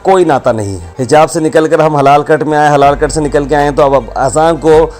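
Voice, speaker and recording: A man's voice: on the high side, normal speed, darkish tone. A man reading a scripted news report as a reporter. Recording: voice-over with music behind. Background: background music.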